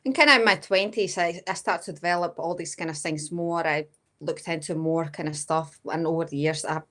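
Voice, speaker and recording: A woman's voice: deep voice, brisk, fairly bright tone. A woman in her thirties from Latvia with a Latvian accent tinged with Scottish, answering interview questions hesitantly with many fillers. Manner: hesitant, many fillers, often says kinda. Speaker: guest medium.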